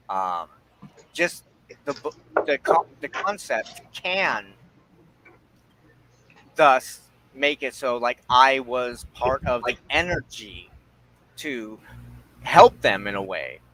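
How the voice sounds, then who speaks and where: A man hosting a reflective spiritual discussion, talking in halting, deliberate short phrases. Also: medium pitch, fairly bright tone, a little hiss or hum, low-quality recording. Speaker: host of a spiritual discussion show.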